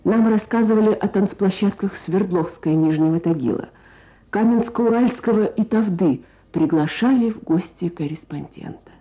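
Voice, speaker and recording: A woman journalist presenting a scripted Soviet radio programme in a measured, lyrical, formal manner. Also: medium pitch, warm tone, poor audio. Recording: archival Soviet radio broadcast.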